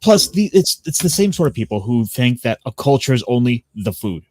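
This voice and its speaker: A man in a casual stream chat who talks haltingly with many restarts. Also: medium pitch, sing-song, a little mellow, no echo.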